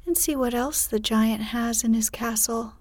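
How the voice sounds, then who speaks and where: A woman's voice: a little high, unhurried, no room sound. A woman whispering as a cheeky young hero. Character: cheeky bold boy hero. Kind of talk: whispered storybook reading.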